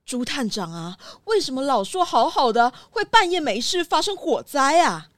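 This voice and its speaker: A woman's voice: high-pitched, big pitch swings, moderate pace, dry recording, clean studio sound. A woman voicing an earnest, worried small-town mayor in a children's radio drama. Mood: anxious.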